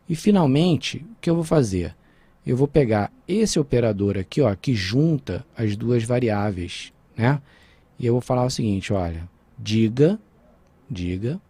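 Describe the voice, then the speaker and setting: A man's voice: medium pitch, warm tone, slightly rough, no echo. A man with a Brazilian Portuguese accent teaching a programming lesson over a screen recording, talking informally and checking in with his students.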